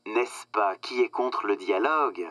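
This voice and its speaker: An actor playing a smug, cynical corporate boss in a satirical radio drama, slick and condescending, coaching with emphatic pauses. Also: male voice, on the low side, sharp tone, slight background noise. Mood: smooth, condescending.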